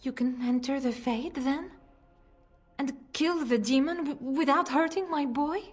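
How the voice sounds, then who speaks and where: A woman's voice: sits high, measured pace. An actress playing a devoted noblewoman mother in a video game, speaking formally and pleading earnestly for her child. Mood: anxious pleading. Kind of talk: dramatic video game acting.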